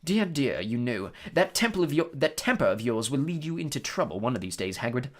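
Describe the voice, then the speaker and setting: A man voicing a cold, smug aristocratic storybook villain with a smooth, lazy, condescending delivery. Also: mid-range voice, very animated, fairly quick. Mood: smooth, menacing.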